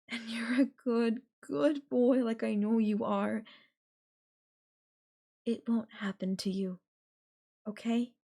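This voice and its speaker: Yandere girlfriend, played by a woman, audio roleplay. Slightly high voice, unhurried delivery, possessive and threatening under coaxing sweetness. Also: creaky, mellow, exaggerated voice. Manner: sweetly condescending, coaxing, threatening, with lingering pauses. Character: obsessive, menacing yandere girlfriend holding her lover captive. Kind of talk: girlfriend audio roleplay, intimate one-sided dialogue.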